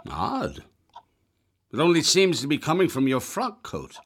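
An actor playing a grave, formal gentleman doctor in a radio drama, with measured delivery. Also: a little high, huge pitch swings, breathy edge, full character voice. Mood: polite, firm. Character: grave, concerned gentleman doctor narrating his account. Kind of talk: radio drama narration and dialogue.

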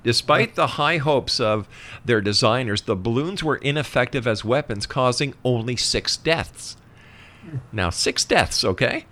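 A man's voice: medium pitch, animated, unhurried, sharp tone. A man hosting a radio interview, explaining things emphatically in a conversational way and at times reading out facts.

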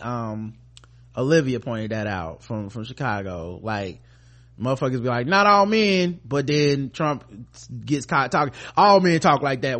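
An American man with a medium-pitched voice talks through the news as a podcast co-host. His delivery is casual and conversational, full of slang and profanity. He comes across as sardonic, commenting bluntly as he goes. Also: a bit bright.

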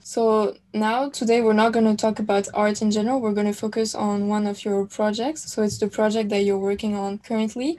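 Mellow-voiced woman podcast host. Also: medium pitch. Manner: polite, prompting questions. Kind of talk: podcast interview.

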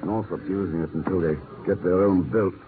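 An actor playing a cool, shrewd secret agent in a 1950s radio drama, speaking in a terse, unruffled way. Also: male voice, sits low, steady tone, fairly quick, natural voice. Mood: cool, dry. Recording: noisy, muffled 1950s radio recording.

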